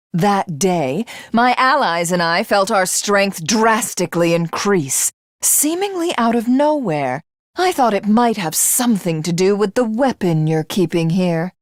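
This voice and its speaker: A woman's voice: mid-range voice, no echo, clean studio sound. A woman playing a cruel, megalomaniac villainess in a video game, grandiose and theatrical, with a sadistic streak and a hunger to be God. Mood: calm, calculating.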